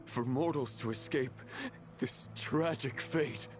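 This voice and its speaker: An actor playing a solemn, anguished knight of chivalry in a video game, speaking in a grand, archaic, declamatory manner. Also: male voice, medium pitch, takes it slowly, music in the background, close to an everyday voice. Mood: anguished resolve. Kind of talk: dramatic video game voice acting.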